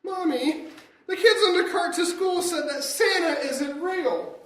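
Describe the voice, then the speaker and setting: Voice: extremely high pitch, measured pace, fairly bright tone. An adult performer voicing an innocent, easily upset little boy who asks questions in a radio comedy sketch. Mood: innocent worry. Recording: very echoey live room, distant mic.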